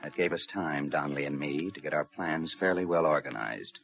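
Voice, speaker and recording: An actor playing a steady, businesslike railroad police lieutenant, narrating and talking through his case in a 1950s radio crime drama. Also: very deep voice, fairly quick, gravelly, heavily acted. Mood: grave, tense narration. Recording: muffled old radio recording, crowd noise.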